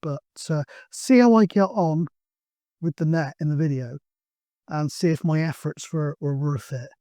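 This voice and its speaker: Male hobby-video host, middle-aged. Slightly high voice, close dry sound, informal and anecdotal. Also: mellow, no echo. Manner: chatty, anecdotal, colloquial. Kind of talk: casual rambling vlog introduction.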